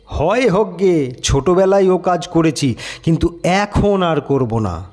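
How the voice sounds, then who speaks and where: Casting: male narrator as cocky, good-humoured young village man, jaunty and animated, for an audio story. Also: on the high side, slow, in a large hall. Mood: reluctant, stubborn. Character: cheerful, teasing, stubborn young Bengali village daredevil.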